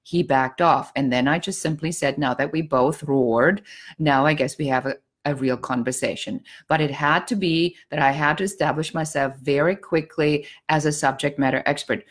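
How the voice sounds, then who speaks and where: A woman's voice: low voice, moderate pace. A middle-aged woman from Germany with a German accent, giving blunt, outspoken business advice in a casual talk with dry sarcasm. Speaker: business coach.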